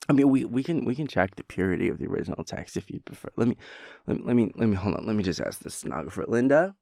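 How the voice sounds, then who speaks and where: A man's voice: fairly low pitch, sing-song, racing, creaky, dark tone, no room sound, studio quality. A man playing a playful, sleepy, chaotic boyfriend in an intimate roleplay audio, rambling and stammering as he teases and coaxes his lover. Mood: mock-formal teasing. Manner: rambling, stammering, teasing, breaking into whispers and giggles.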